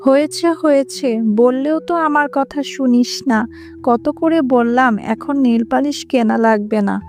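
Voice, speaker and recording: A woman's voice: high-pitched, steady tone, moderate pace, darkish tone. A woman voicing a harried, chiding fox mother in a children's cartoon. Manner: chiding, explaining herself. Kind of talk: children's animated fable acting. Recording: music under the voice.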